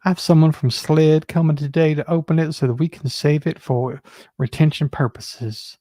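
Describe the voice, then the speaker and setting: A man's voice: a little high, steady tone, normal speed, dark tone, no echo, clean studio sound. A man on a discussion panel, chatting casually and reading correspondence aloud. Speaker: panelist on a livestream.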